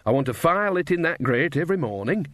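A man acts out an old country witch for a storybook. The voice is pitched high, cheerful and bossy. It chats and scolds in rustic dialect. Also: animated, fast talker, a bit bright, no echo, studio quality. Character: bossy, cheerful old village witch mother, Nanny Ogg.

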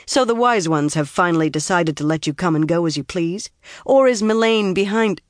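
A woman's voice: low-pitched, brisk, no echo, studio quality, close to an everyday voice. A woman reading an audiobook, voicing a proud village wise woman who argues indignantly and tries to sound authoritative. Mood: muttering, annoyed.

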